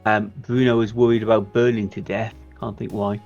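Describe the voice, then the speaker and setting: A man's voice: lowish voice, level tone, brisk, creaky, darkish tone, dull audio, soft background music. A man playing a tabletop game, talking through plans casually in an assertive, wry way. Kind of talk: casual game planning chat.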